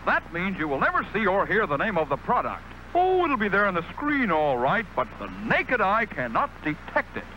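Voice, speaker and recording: Male comic pitchman, high-pitched and very animated, storytelling ad style, noisy vintage recording. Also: moderate pace, few pauses. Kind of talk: comedic monologue telling a joke. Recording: noisy old TV commercial audio.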